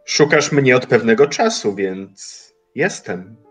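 An actor playing Time personified as a mocking, lofty old man in an audio drama, speaking slowly in an archaic manner. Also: medium pitch, very animated, slightly rough, soft background music, exaggerated voice. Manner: slow, measured, archaic speech.

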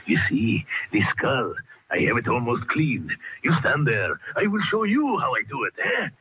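An actor playing a gloating, deranged old Frenchman with a French accent in a radio horror play, drawn-out and theatrical. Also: mid-range voice, huge pitch swings, slightly rough, full character voice. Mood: coaxing menace. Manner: theatrical, drawn-out, chuckling, coaxing with "eh?". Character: mad, gloating old Frenchman who cleans bones. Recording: dull, noisy vintage radio recording.

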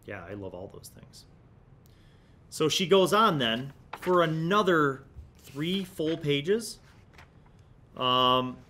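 A man's voice: fairly high pitch, a bit bright. A man in his forties hosting a review, enthusiastic and emphatic, mixing casual commentary with reading aloud into a desk microphone in a small studio room. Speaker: host of a tabletop gaming magazine review series. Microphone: desk microphone close in front.

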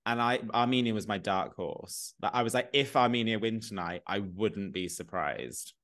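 A man's voice: on the low side, normal speed. A man, chatting casually and expressively on a video call from home. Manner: expressive, opinionated, gestures while talking. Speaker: co-host. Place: home room.

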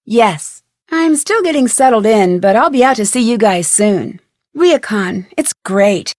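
A young female sleuth from a mystery video game. Her voice is fairly high and recorded dry and close. She speaks in a friendly, polite and inquisitive way, with clearly articulated scripted lines. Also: animated, unhurried, darkish tone, no room sound.

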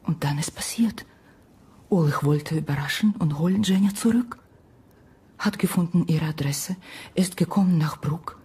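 An actress playing a sorrowful Ukrainian woman in a radio drama, speaking halting, broken German in a breathy half-whisper with an Eastern European accent. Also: fairly low pitch, warm tone. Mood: sad, tender. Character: sorrowful Ukrainian woman recounting a lost love. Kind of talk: radio drama acting, intimate storytelling.

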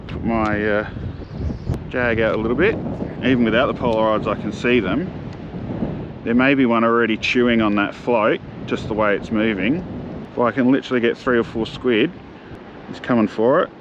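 An Australian man chatting casually as he films himself fishing from a seaside jetty, relaxed and full of slang. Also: mid-range voice. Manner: laid-back, chatty, slangy. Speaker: fishing vlogger. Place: outdoors on a jetty by the sea. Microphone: camera-mounted mic, first-person view. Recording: dull outdoor camera audio with wind noise. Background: wind and water.

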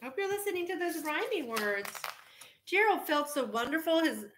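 A middle-aged woman reading a picture book aloud to children, expressively, pausing to point things out. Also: high-pitched, lively intonation, noisy background. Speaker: teacher. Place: indoor home kitchen. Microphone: laptop or webcam at arm's length. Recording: roomy echo from a laptop mic.

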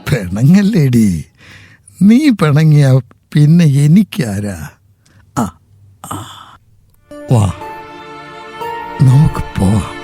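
A man playing an aging widowed father in a radio drama, slow and sorrowful. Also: medium pitch, sing-song, dark tone, slightly rough, no room sound, faint music behind, full character voice. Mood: tender. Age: older. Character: aging widowed father, tender, clinging to home.

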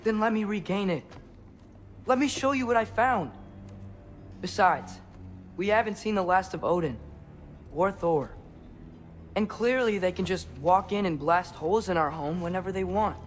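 A man's voice: high-pitched, moderate pace, long pauses, natural voice. An actor playing an earnest, headstrong teenage boy in a video game, eagerly explaining and persuading. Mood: pleading, earnest. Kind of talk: dramatic video game acting. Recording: clear music behind the voice.

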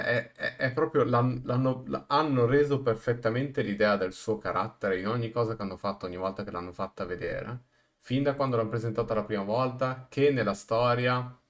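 Low-voiced man, halting casual delivery. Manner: halting, repeats words for emphasis. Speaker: streamer reacting to a game trailer. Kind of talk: casual reaction commentary. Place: small room indoors.

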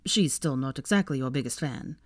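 A gentle young man from a wizarding storybook, acted by a woman. He is earnest and a little hesitant, with lively intonation in a dry recording. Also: extremely low pitch, brisk, dry recording. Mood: awkward. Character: gentle, awkward, apologetic young male wizard.

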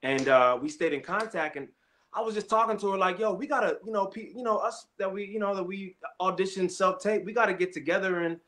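A young adult man from Kenya, an actor guest, telling his story in a relaxed, slangy way. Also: sits high, brisk. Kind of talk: casual interview chat.

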